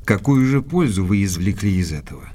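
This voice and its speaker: An actor playing a courteous, inquisitive English gentleman doctor in an audio drama, speaking in a measured, polite, articulate way. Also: low voice, animated. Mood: curious. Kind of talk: audio drama dialogue and narration.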